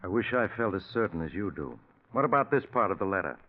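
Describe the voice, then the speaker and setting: Low-voiced grave friend on tinny old radio. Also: male voice, sing-song. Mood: urgent, foreboding. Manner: solemn, earnest, deliberate. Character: grave, concerned male friend who believes in omens. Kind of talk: 1940s radio suspense drama acting. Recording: tinny, narrow vintage 1940s radio recording.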